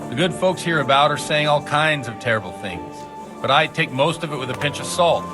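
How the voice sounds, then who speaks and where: An actor playing a worldly, kindly but principled middle-aged village priest in a video game, speaking in a measured, fatherly way. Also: a little high, expressive, music in the background, natural voice. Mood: sociable, sombre. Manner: measured, fatherly, gently philosophical. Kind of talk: video game cutscene acting.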